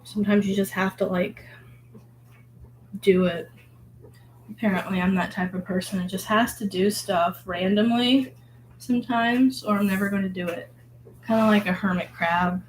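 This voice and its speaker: Hoarse vlogger woman, mid-pitched, loose rambling self-talk with lots of fillers, faint noise behind. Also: fairly even intonation, normal speed, long pauses, rough recording. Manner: rambling, filler-heavy, musing. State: hoarse from illness. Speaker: vlogger painting. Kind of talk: casual reflective monologue.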